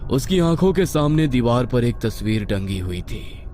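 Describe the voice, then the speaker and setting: A man's voice: medium pitch, big pitch swings, normal speed, mellow, slight background noise. A man reading a scripted horror story as a dramatic narrator. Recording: music behind the voice.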